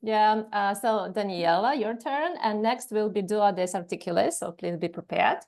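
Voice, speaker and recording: A woman hosting an online orientation over a video call, talking casually with many pauses and fillers. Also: mid-range voice, creaky, darkish tone.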